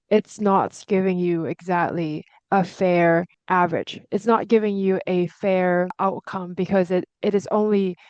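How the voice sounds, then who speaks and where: A woman's voice: on the low side, darkish tone, no room sound. A woman whose first language is Cantonese, hosting a discussion and sharing her reflections thoughtfully, with frequent restarts. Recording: dull lo-fi sound.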